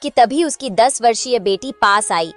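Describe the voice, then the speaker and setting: Lady storyteller for a kids moral tale, fairly high voice, music in the background. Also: expressive, measured pace. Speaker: narrator. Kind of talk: scripted moral-story narration for children.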